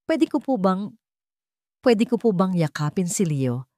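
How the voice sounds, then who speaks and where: A woman acts out a poor young housemaid in an audio story. She is deferential and desperate, pleading in a mellow voice with a dry, close sound. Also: mid-range voice, sing-song, a little slow, dry recording.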